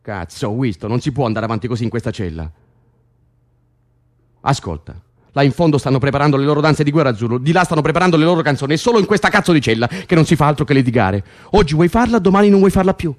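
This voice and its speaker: A man's voice: on the high side, animated, fast, close to an everyday voice. A man acting in an Italian radio drama, playing a weary, driven political prisoner who bosses his cellmate with wry, foul-mouthed exasperation. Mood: exasperated, urging.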